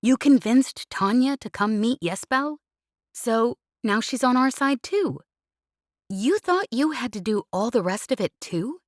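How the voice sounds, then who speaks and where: A woman's voice: a little high, expressive, a little slow, no echo, studio quality, pitched above the performer's own voice. A woman doing a brash, teasing young pilot. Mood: exasperated. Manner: cheeky, relentless chatter. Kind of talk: audiobook character reading.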